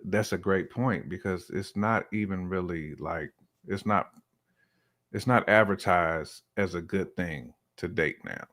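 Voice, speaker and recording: A man's voice: low-pitched, a little slow, fairly bright tone. A man giving his opinions in casual, colloquial conversation, often acting out imagined dialogue as he goes. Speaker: podcast guest or co-host.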